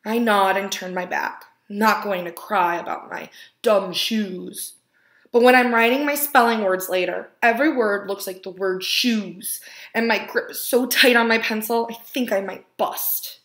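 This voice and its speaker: A woman reading a children's picture book aloud as a storyteller, expressively and with deliberate emphasis on key words. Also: medium pitch, fairly bright tone. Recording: roomy, echoing indoor sound.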